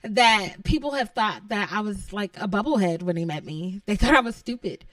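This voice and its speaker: A bubbly woman with a New York accent and a childlike, high-pitched voice, talking casually on her own and giggling often. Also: expressive, normal speed, fairly bright tone, dry recording. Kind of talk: casual solo monologue.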